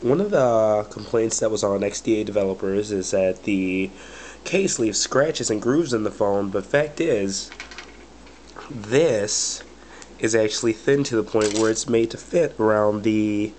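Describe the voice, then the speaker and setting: Man with a cold, voice a bit cracky and low-ish; loose, rambling product-review delivery. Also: unhurried, darkish tone, slight background noise. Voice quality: slightly cracky voice. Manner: rambling, many fillers like "but anyway" and "or whatever". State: has a bad cold. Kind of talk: casual product review vlog.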